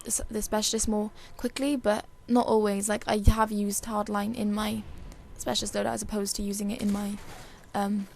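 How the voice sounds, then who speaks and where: A woman with a dry, hoarse voice giving casual, chatty and rambling commentary over a video game. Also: mid-range voice, flat delivery, normal speed, few pauses, a little mellow, a little hiss or hum. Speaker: gaming video creator.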